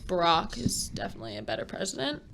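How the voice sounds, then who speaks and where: A young woman as a podcast guest, talking in casual chat with many pauses and fillers. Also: lowish voice, huge pitch swings, vocal fry, a bit bright, slight background noise. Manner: hesitant, many ums and likes.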